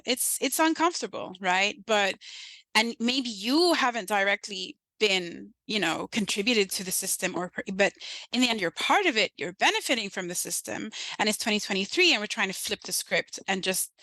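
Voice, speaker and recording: A woman's voice: medium pitch, animated, normal speed, bright, forceful tone, no room sound, poor audio. A woman from Sudan, probably in her thirties, with a Sudanese accent tinged with British. She speaks as a guest in a podcast conversation, earnestly and emphatically, and often checks in with "right?". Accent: Sudanese, with a light British lilt.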